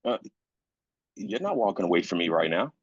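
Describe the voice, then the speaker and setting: A man's voice: deep voice, very animated, normal speed, slightly rough, dry recording, full character voice. A man playing a weary, grieving husband and father in a screenplay reading, insistent yet supportive. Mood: insistent, frustrated. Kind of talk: live staged screenplay reading. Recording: dull, lo-fi sound.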